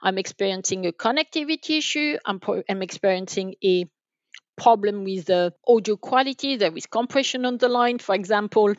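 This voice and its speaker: A woman's voice: medium pitch, fairly quick, dry recording, highs cut off. A woman speaking as an interview guest, explaining from experience in a wordy, emphatic way with repeated restarts. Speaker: guest, enterprise sales manager.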